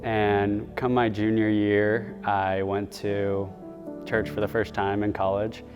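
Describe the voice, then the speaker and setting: A man's voice: lowish voice, level tone, slow, in a large hall, muffled recording, over music. A young man from Texas, a college student, giving a casual personal testimony. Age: young adult.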